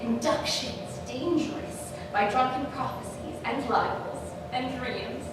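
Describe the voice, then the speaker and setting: A woman playing a scheming, bitter royal villain in a theatrical Shakespearean staged reading, with dramatic, weighted pauses. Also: sits high. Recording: very echoey noisy hall, distant mic.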